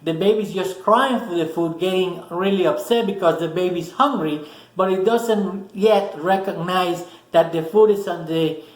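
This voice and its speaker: A man with a Spanish accent, a native Spanish speaker, explaining a self-help lesson slowly in short, repeated phrases. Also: high-pitched, echoey room, rough recording. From Spanish-speaking country. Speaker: self-help video host. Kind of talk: explanatory talk to camera.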